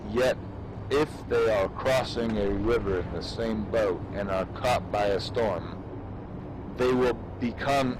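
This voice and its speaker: A man giving a solemn, deliberate dramatic reading of an old treatise in a declamatory manner. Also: mid-range voice, background noise. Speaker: reader performing a dramatic reading.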